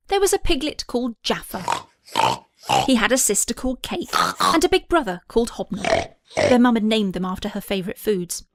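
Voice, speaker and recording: A woman's voice: on the high side, no echo. A woman narrating a scripted children's story as an audiobook reader.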